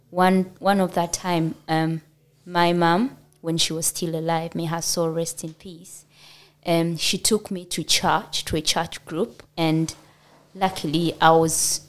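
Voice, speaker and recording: A woman from Uganda with a Ugandan accent, telling her life story as a podcast guest in a hesitant way with many fillers. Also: fairly low pitch. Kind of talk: personal storytelling in an interview.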